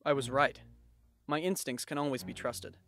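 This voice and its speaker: A man's voice: medium pitch, steady tone, moderate pace, a bit bright, lightly acted. A man playing a sardonic, bewildered teenage schoolboy who narrates an anime fandub with dry incredulity. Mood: ominous, dismayed. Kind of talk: anime fandub, inner monologue and dialogue.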